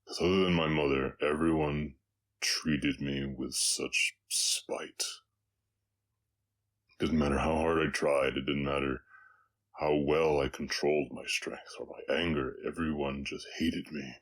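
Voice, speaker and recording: A man voicing a brooding, remorseful orc cowboy outlaw with a slight Southern US accent, speaking haltingly and somberly in an intimate confessional roleplay. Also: very deep voice, fairly even intonation, fairly bright tone. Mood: bitter, dark. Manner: halting, somber, long pauses. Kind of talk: intimate scripted roleplay audio, confessional storytelling.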